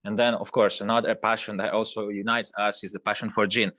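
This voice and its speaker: A man whose first language is Italian, a project co-founder speaking in an online interview, pausing often with fillers and restarts. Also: lowish voice, flat delivery, bright, forceful tone, no echo, muffled recording. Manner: hesitant, many fillers and restarts. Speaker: crypto project co-founder guest.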